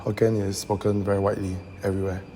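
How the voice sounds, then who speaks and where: A young man from Singapore answers in a relaxed, easygoing way. His voice is gravelly and mellow, with a faint hum of ambience behind. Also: on the low side, quick pace. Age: young adult. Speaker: design student interviewee. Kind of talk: casual interview answers.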